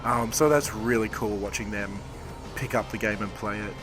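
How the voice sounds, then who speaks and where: Hoarse aussie dev guy rambling on a convention floor, music loud behind him. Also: on the low side, normal speed. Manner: rambling, self-correcting, thoughtful. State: hoarse, losing his voice. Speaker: indie game developer.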